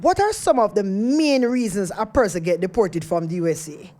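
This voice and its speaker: A woman's voice: medium pitch, expressive, moderate pace, warm tone. A woman hosting a radio interview, chatty and often repeating words as she searches for them. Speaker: radio host.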